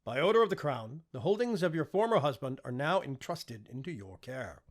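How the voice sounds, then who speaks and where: Audiobook king, acted by a male narrator: commanding, formal, forceful, husky voice with big pitch swings. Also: sing-song, a little fast. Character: commanding, confident king passing stern sentence. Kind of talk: audiobook character dialogue.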